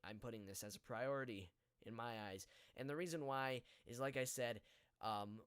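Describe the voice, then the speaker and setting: A teenage male high school student hosting a casual podcast, talking in an earnest, halting, rambling way. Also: clear, resonant tone, no room sound, clean studio sound. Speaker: podcast host. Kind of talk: casual live podcast chat.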